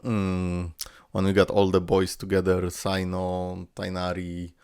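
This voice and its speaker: A man giving casual reaction commentary, rambling as he thinks out loud. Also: deep voice, monotone, measured pace, clear, resonant tone, no echo, studio quality. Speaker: reaction video host.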